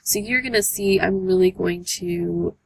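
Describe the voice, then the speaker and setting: Hoarse woman with a cold, lowish gravelly voice, chatty tutorial, lots of ums. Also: unhurried, slight background noise, rough recording. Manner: chatty, many "um" fillers. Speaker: art journaling tutorial host. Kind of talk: casual tutorial voice-over.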